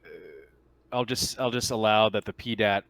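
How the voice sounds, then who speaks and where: A man's voice: fairly low pitch, little pitch movement, moderate pace, fairly bright tone, clean tone. A man running a tabletop game as game master, narrating casually and off the cuff in a conversational, hesitant way.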